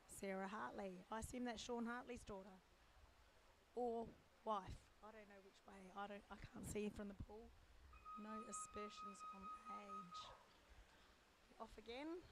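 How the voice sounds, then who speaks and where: A middle-aged woman with a croaky, strained voice gives casual live sports commentary from poolside. She talks in a chatty, unhurried way, with fillers as she thinks aloud. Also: mid-range voice, a little hiss or hum, rough recording. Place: poolside at an indoor or covered swimming pool.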